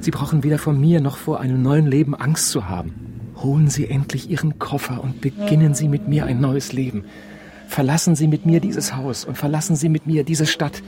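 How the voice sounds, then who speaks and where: A man's voice: a little high, brisk, close to an everyday voice. An actor in a German radio drama playing an obsessive, pedantic abandoned husband who insists on his ideas with great earnestness. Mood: urgent.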